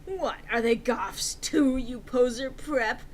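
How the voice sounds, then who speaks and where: A woman's voice: on the high side, slow pace, a little hiss or hum, voice pushed up. A woman voicing a histrionic, foul-mouthed gothic teenage girl in an angry dramatic reading, with melodramatic outbursts. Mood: furious.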